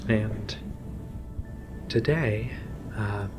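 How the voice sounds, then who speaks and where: ASMR roleplay: affectionate alien feline researcher, female, played by a man, low, soft, unhurried and halting. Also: music in the background. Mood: gentle, reassuring. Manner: soft, slow, tender, halting.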